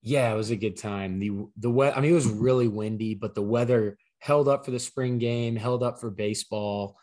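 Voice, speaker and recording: A man co-hosting a sports podcast, his voice slightly hoarse from losing it, explaining things in an easygoing, conversational way. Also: mid-range voice, normal speed, darkish tone. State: partly lost voice, slightly hoarse. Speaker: podcast co-host. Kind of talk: casual sports podcast chat.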